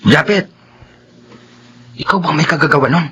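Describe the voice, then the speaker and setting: A man's voice: on the high side, moderate pace, sharp tone, a little hiss or hum. An actor playing a worried worker afraid for his job in a Filipino radio horror drama, with a Filipino accent. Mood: anxious.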